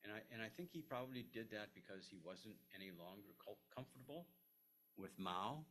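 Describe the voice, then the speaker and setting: An older man, a historian, answering audience questions at a lecture, halting with many restarts and fillers. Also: lowish voice, lively intonation, fairly bright tone, slight background noise. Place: lecture room.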